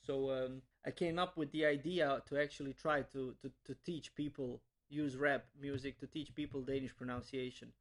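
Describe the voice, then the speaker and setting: A man's voice: medium pitch, steady tone, moderate pace, hardly any pauses, a little hiss or hum. A young man from Bosnia, a language teacher, answering interview questions earnestly with many restarts and repeated words.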